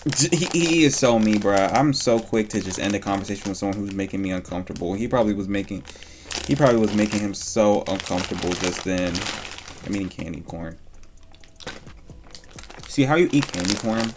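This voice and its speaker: A man's voice: on the low side, fairly quick, background noise. A man giving casual reaction commentary in an informal way, repeating phrases for emphasis. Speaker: reaction video host.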